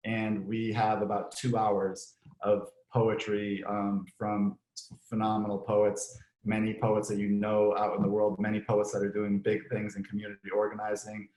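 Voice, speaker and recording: A man hosting an organization's virtual ceremony, giving closing thanks in an unscripted, relaxed way with many ums and restarts, his voice slightly hoarse. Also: on the low side, moderate pace. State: slightly hoarse, clearing throat. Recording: echoey room.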